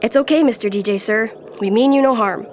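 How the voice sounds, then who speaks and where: A young cartoon cabin boy voiced by a woman, polite and a little nervous, with a highish pitch. The manner is earnest and deferential, that of a loyal mate speaking to a captain. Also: brisk, a little mellow, slight background noise, close to an everyday voice. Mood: reassuring.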